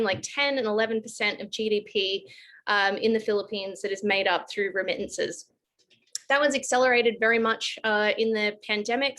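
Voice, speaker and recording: A woman with an Australian accent speaks at a medium pitch. She talks as an expert panelist, laying out her points clearly in a conversational, explanatory style. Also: fairly bright tone. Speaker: panelist, advisory firm director. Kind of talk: panel discussion, explanatory talk.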